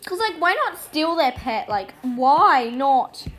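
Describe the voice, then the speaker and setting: A woman's voice: high voice, sing-song, takes it slowly, a bit bright. A woman giving casual, playful and silly gameplay commentary, reading out her roleplay as she goes. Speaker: video game streamer.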